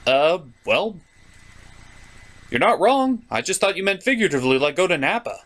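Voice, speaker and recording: A man's voice: sits high, sing-song, normal speed, a bit bright. A man hosting a cartoon review show with theatrical, sardonic comedic commentary.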